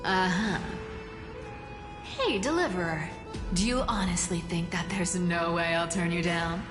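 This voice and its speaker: A woman's voice: fairly low pitch, unhurried, close to an everyday voice. An actress playing a sly, teasing female trickster demigod in a game, with a playful, mocking way of talking. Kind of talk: game voice acting. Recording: roomy echo, long reverb, music behind.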